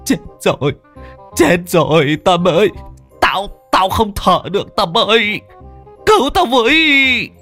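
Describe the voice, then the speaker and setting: A man voicing a bumbling, bragging young male disciple who stammers and blusters, much higher than his own voice. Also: very animated, fairly quick, a bit bright, faint music behind, exaggerated voice. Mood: panicked. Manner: stammering, cocky then frantic.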